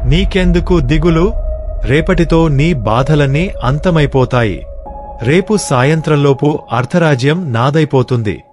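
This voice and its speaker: A male actor plays a treacherous lord in a folk-tale audio story. He is a scheming villain with a raised pitch, over faint music. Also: unhurried, darkish tone, close to an everyday voice. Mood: gloating, scheming. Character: scheming, treacherous vassal lord, brother-in-law of king.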